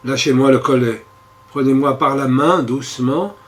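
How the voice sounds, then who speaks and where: A man voicing a captured soldier and father who speaks quietly, calmly and with resignation. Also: medium pitch, normal speed, lightly acted.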